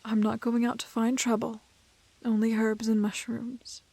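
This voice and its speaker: A woman playing a cheerful, gentle young woman who gathers plants in a fantasy audio roleplay, often murmuring airily to herself. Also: on the high side, level tone, measured pace, gravelly, dry recording. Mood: playfully assured.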